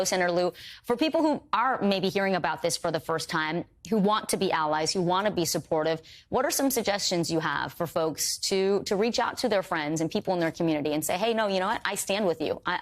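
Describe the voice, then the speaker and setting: A woman's voice: on the low side, expressive, fairly quick. A woman news anchor conducting a broadcast interview in an emphatic, conversational way.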